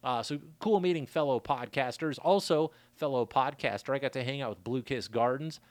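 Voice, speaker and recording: A man hosting his podcast in a loose, rambling monologue, his voice raspy from a worn throat. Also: medium pitch, moderate pace, no room sound. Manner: laid-back, loose, chatty with shout-outs. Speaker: podcast host. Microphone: studio mic.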